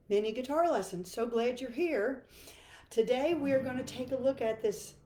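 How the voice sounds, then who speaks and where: An older woman with a hoarse, froggy voice, teaching a chatty guitar lesson live from her home music room. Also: fairly high pitch, dark tone, reverberant. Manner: chatty, self-deprecating, laughs easily. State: hoarse from laryngitis.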